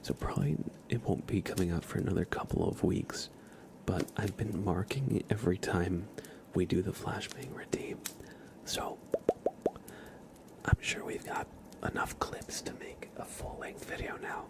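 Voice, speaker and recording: Man, soft breathy whisper, gravelly fry, casual ASMR livestream host, gentle unhurried chat. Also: low voice. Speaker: live ASMR streamer and host.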